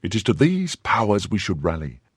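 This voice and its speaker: A man voicing an indignant young wife who scolds her husband, pushing his husky voice higher. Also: wildly swooping pitch, moderate pace, dry recording, clean studio sound, heavily acted. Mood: scornful. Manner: scornful, pleading and scolding by turns. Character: young woman, indignant, fiercely loyal wife.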